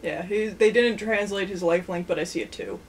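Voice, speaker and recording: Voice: mid-range voice, fairly quick, a little hiss or hum. A co-host chatting casually, recapping and commenting in an informal, blunt and sarcastic way. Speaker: co-host of a card game anime review.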